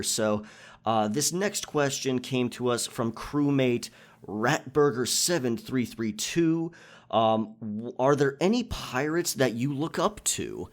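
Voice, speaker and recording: A man's voice: mid-range voice, unhurried. A man talking casually and reflectively as a channel creator, answering listener questions and explaining his thinking. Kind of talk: casual Q&A monologue.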